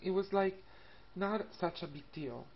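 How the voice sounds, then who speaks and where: A woman of thirty from Italy with an Italian accent, telling a personal story casually to camera in a hesitant, halting way. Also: on the low side. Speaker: vlogger. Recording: muffled lo-fi webcam audio, background noise. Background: classical music and faint room noise.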